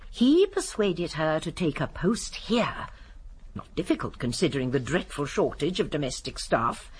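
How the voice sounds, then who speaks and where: A woman playing a shrewd, genteel elderly English lady sleuth in a radio drama, speaking primly and precisely with a gently assured manner. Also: low voice, big pitch swings, moderate pace, breathy edge, full character voice. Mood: explaining. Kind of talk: BBC radio drama acting.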